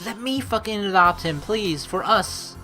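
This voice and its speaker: A woman hosting a let's play, mixing casual, rambling commentary with reading the game's text aloud, expressive and quick to swear. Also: medium pitch, over music. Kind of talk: casual game commentary with read-aloud narration.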